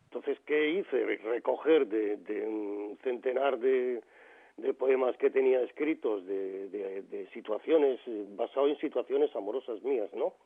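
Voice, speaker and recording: A man's voice: mid-range voice, measured pace, slightly rough. A man in his sixties from Granada, a poet calling in to a radio interview, speaking reflectively with many fillers and restarts. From Granada, Spain. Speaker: poet, phone guest. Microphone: telephone line. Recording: phone-line radio call.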